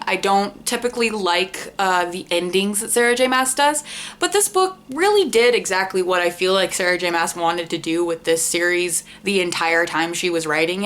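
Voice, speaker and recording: A woman's voice: mid-range voice, fairly bright tone. A young adult woman chatting casually as a book vlogger, rambling and self-deprecating, with informal language and swearing. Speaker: book vlogger, channel host. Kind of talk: casual book review chat to camera.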